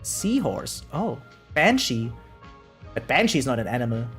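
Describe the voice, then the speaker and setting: Streamer, 30s: mid-range voice, loose chatty live commentary that rambles, soft music underneath. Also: mid-range voice, sing-song, measured pace. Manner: rambling, keeps adding "right?". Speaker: variety game streamer. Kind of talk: casual live reaction commentary. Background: faint music.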